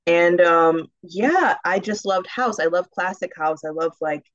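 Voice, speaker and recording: Deep-voiced woman, lively and laughing. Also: unhurried, dry recording, muffled recording. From United States. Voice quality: deep, rich, round alto voice. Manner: animated, laughs often, fillers like "um" and "like". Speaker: singer, interview guest. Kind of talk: casual interview conversation.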